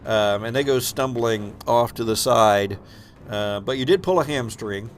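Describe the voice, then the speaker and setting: A man's voice: medium pitch, measured pace, a little hiss or hum. A man narrating an improvised tabletop game as game master, thinking aloud as he goes.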